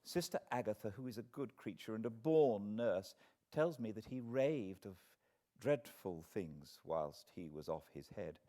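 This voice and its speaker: A man's voice: lowish voice, animated, a little slow, mellow. British man reading a classic novel aloud. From Britain. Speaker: audiobook narrator.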